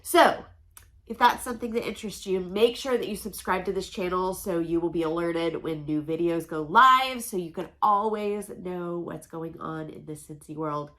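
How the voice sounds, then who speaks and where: Bright-voiced chatty woman with allergies. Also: fairly low pitch, normal speed. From Oklahoma, USA. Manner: chatty, upbeat, folksy. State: congested from allergies. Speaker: direct-sales consultant and channel host. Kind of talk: casual product unboxing vlog. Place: home room with a noisy air conditioner.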